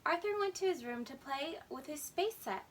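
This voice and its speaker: A woman hosting storytime, narrating a children's story in an animated, expressive way. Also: high voice, moderate pace, a little hiss or hum. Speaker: storytime host and reader. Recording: slightly distant microphone.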